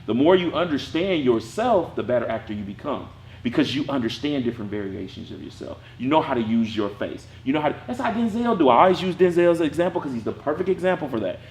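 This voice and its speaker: A man teaching an acting class, talking casually in a lively way with a strong voice and acting out bits of his stories. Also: medium pitch, quick pace, reverberant, a little hiss or hum.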